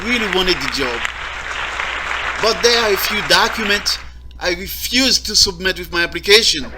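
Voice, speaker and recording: Man from guatemala, stand-up comic, high voice, slow pace, loud audience. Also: expressive, a loud crowd. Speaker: stand-up comedian. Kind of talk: stand-up comedy set. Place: live comedy stage. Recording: loud audience laughter and noise.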